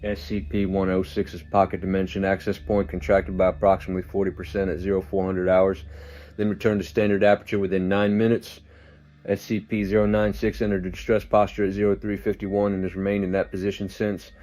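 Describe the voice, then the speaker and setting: Man acting a deadpan low-voiced scientist dictating. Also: natural voice. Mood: detached. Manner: measured, deadpan, official. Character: clinical, detached senior scientist logging a report. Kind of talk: formal log dictation.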